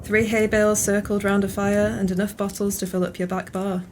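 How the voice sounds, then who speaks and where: An actress playing a teasing, sharp-witted pub regular in an audio play, with a wry manner. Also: female voice, mid-range voice, monotone, fairly quick, a little hiss or hum, lightly acted.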